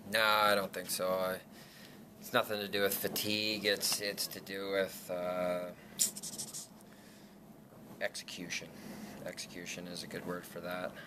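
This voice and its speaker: A young man in his twenties, a lacrosse player, answering reporters after a game in a halting way with many restarts. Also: low-pitched, level tone. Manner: halting, many restarts and fillers, laughs self-consciously. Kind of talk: post-game media scrum. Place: press backdrop room indoors. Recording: noisy room ambience.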